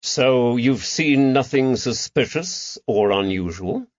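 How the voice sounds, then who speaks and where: A man playing a patient, careful detective in an audiobook mystery, measured and gently firm as he questions. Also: mid-range voice, animated, dry recording, muffled recording. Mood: probing.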